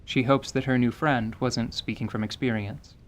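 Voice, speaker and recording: A man's voice: medium pitch, fairly even intonation, moderate pace, background noise. A man reading scripted dramatic narration for a fantasy tabletop story told as radio theatre.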